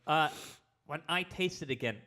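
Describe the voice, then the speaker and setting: A young man co-hosting a podcast, chatting casually with many pauses and filler words. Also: fairly high pitch, pushed and bright. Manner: hesitant, full of filler words, laid-back. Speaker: podcast co-host. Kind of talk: casual chat.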